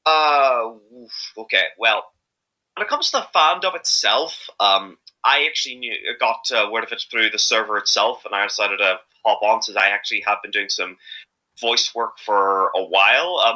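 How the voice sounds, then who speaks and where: A man's voice: fairly low pitch, pushed and bright, low-quality recording. A man chatting casually as an interviewed amateur voice actor, rambling and hesitant, often correcting himself as he goes.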